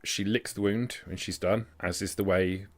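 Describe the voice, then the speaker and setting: A man with a very deep voice runs a tabletop game as game master. He improvises the narration and explains things deliberately, phrase by phrase. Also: steady tone.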